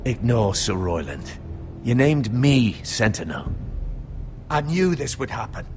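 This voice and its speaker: An actor playing a shrewd, loyal sentinel who urges caution in a fantasy video game. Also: male voice, mid-range voice, big pitch swings, unhurried, background noise. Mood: indignant. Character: shrewd, loyal male sentinel urging diplomacy. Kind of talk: dramatic video game voice acting.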